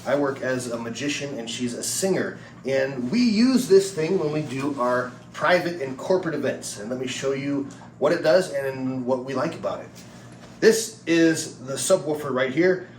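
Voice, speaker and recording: A man's voice: medium pitch, moderate pace. A man giving a casual product review and demonstration in a plain-spoken, explanatory way. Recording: roomy, distant mic with background noise.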